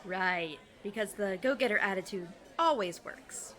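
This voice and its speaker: A woman's voice: mid-range voice, expressive, measured pace, sharp tone, in a large hall, soft background music. A woman playing a sarcastic, exasperated teenage girl, dry and sceptical, in an audio drama. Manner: dry, sardonic, nagging.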